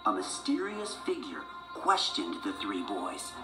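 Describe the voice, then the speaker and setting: A man's voice: lowish voice, huge pitch swings, a little slow, reverberant, over music. A man narrating a children's storybook from a script.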